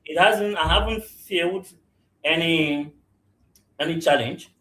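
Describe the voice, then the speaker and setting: A man's voice: fairly high pitch, slow pace, a bit bright. Man from Cameroon, echoey room. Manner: repeats "you understand?" and restarts often. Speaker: guest, real estate general manager. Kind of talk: conversational interview answers. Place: remote call into a live podcast. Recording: roomy echo.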